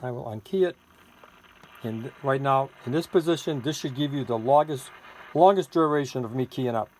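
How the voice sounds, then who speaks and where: A man's voice: medium pitch, moderate pace. Man demonstrating a gadget, noisy recording. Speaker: seller demonstrating his homemade radio gadget. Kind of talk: informal product demonstration.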